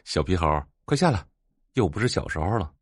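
A young, indulgent big brother in an audio drama. His deep voice moves in lively swings as he teases with open affection, recorded close and dry. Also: brisk, breathy edge, no room sound, exaggerated voice. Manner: affectionate teasing. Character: doting young adult older brother, teasing and indulgent. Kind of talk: Chinese audio drama acting.

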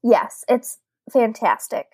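A woman's voice: on the high side, expressive, slow pace, darkish tone, husky, dry recording, studio quality. A young adult woman co-hosting a podcast in casual chat, candid and chatty with frequent laughs. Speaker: podcast co-host, book club organizer.

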